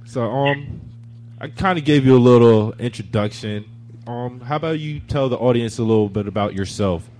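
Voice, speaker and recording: A man hosting a radio talk show, introducing a guest in a relaxed, halting way. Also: mid-range voice. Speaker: radio host.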